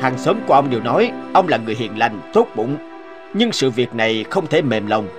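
A man voicing a stern, shrewd police investigator in an animated moral tale, formal and authoritative. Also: medium pitch, brisk, over music, lightly acted. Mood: firm, probing.

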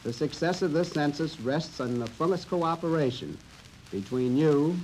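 A middle-aged American man giving a formal, measured scripted address as a government official in a 1950 film. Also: fairly high pitch, expressive, darkish tone. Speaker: census director giving an address. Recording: old filmstrip soundtrack with faint crackle.

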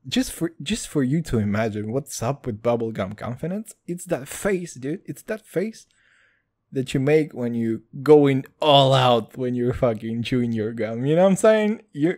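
Crude, excitable man ranting. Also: medium pitch. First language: Hebrew. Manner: crude, loud swings, calls listeners dude. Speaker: podcast host. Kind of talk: comedic solo podcast rant.

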